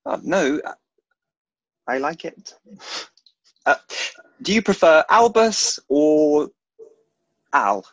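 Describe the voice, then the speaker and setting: Nervous british wizard schoolboy, slow stammery chatter, stage play reading, bit muffled on a video call. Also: male voice, on the high side, lively intonation, no room sound, full character voice. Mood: shy, grateful. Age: young adult. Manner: hesitant, stammering, nervous laughs. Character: nervous, chatty, eager schoolboy wizard, awkwardly self-deprecating. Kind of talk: theatrical table read. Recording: dull video-call audio.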